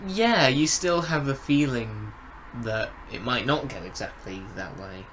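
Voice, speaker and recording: A man in a casual review chat as a co-host, speaking in halting phrases and often repeating words. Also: medium pitch, a bit bright, slight background noise. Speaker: podcast co-host reviewing audio dramas.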